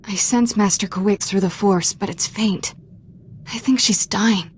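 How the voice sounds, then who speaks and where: Girl playing a sassy streetwise young jedi in a game, middle pitch, kinda wry n casual. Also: measured pace, slight background noise, close to an everyday voice. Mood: worried. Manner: wry, informal banter. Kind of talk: video game cutscene acting.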